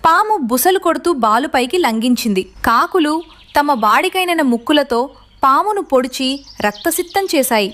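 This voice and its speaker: A woman's voice: high-pitched, animated, normal speed, a bit bright. A woman narrating a scripted story for children.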